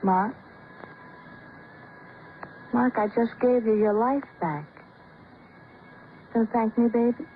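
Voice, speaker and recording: A woman's voice: on the high side, slow pace, long pauses. An American woman playing a distraught, controlling wife in a 1950s radio drama, theatrical, insistent and brittle. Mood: insistent, confessing. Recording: scratchy old radio broadcast, narrow band, hiss.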